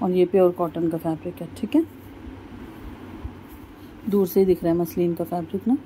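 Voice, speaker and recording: A woman's voice: on the low side, steady tone, moderate pace, mellow, slight background noise, poor audio. A woman vlogging casually from a fabric shop in a market, chatting to her viewers and calling them guys. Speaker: shopping vlogger. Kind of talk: casual shopping vlog.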